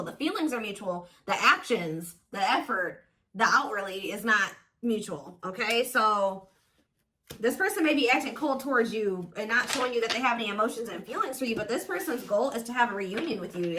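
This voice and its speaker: Female tarot host, higher-pitched, conversational and assured, speaking straight to viewers over faint background noise. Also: bright, forceful tone, low-quality recording. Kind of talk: casual intuitive tarot card reading, speaking to viewers.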